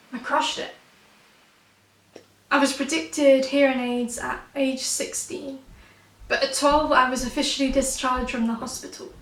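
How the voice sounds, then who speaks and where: A woman in her twenties with a croaky, blocked-nose voice, performing spoken word poetry with a wry, self-mocking, punchy delivery. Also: high-pitched, a bit bright, roomy sound, recorded from a distance, low-quality recording. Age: 20s.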